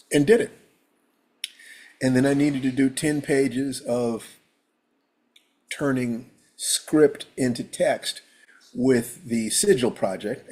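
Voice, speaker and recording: Man, hoarse and husky voice, mid pitch, casual live-stream host, rambling, clears throat often. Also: a little mellow. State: rough, hoarse voice. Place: at home.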